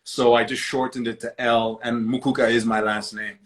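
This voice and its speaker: A man from Zambia answering interview questions over a video call, relaxed and conversational. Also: medium pitch, normal speed. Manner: relaxed, conversational, fillers like you know. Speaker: guest artist.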